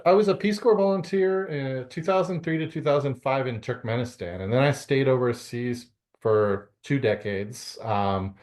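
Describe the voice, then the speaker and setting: A man's voice: mid-range voice, a little mellow. A middle-aged man from Canada answering interview questions, with many fillers and restarts. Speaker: guest author.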